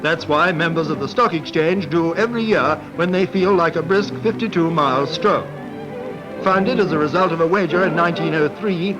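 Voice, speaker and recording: A man's voice: on the high side, highs cut off. A man narrates a vintage sports film. His scripted 1940s commentary is wry and lightly humorous, and prominent music plays behind him on an old soundtrack. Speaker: newsreel-style sports narrator. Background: background music.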